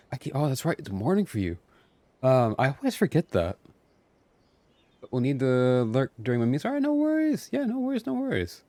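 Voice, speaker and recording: A man's voice: fairly high pitch, a little mellow, no room sound. A man giving casual live stream commentary as he plays a game and chats. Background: game music.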